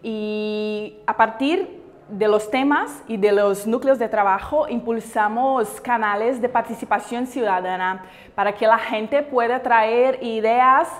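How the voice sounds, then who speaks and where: A woman in her thirties from Brazil, a native Portuguese speaker with a Brazilian accent, giving earnest, deliberate interview answers as a city councillor. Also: on the high side, fairly bright tone. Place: large bright indoor hall. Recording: echoey hall, music behind.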